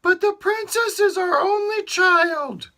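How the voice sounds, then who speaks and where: Man doing a shrill falsetto queen. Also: slow, sharp tone, full character voice. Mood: pleading. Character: anguished queen, a mother pleading.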